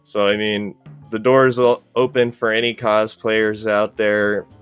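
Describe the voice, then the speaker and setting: A man's voice: fairly low pitch, level tone, measured pace, clean tone, faint music behind. A man speaking as a podcast guest in casual, easygoing, appreciative conversation. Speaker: podcast guest, cosplay group organizer. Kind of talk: casual interview chat.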